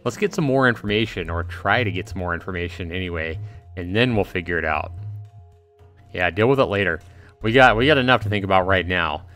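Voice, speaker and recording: A man's voice: low-pitched, fairly quick, fairly bright tone, faint music behind. A man giving casual live gameplay commentary, thinking aloud and repeating himself as he talks to his viewers. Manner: repetitive, thinking aloud, addressing chat viewers. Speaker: livestream host playing a visual novel.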